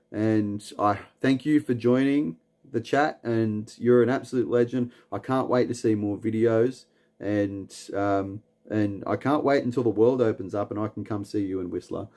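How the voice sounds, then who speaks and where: Australian man, stream host, slow laid-back rambling delivery, lowish voice, lots of fillers. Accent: Australian, from Newcastle. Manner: relaxed and rambling, with many "um"s and repeated words; chuckles often between lines. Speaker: livestream host. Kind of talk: late-night livestream chat, reading comments aloud. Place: at home, late at night.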